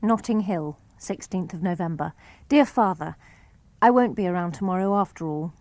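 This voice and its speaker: A woman reading a daughter's letters aloud for a radio broadcast, in an even, clear and composed manner. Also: fairly low pitch, moderate pace, no echo. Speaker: reader of letters.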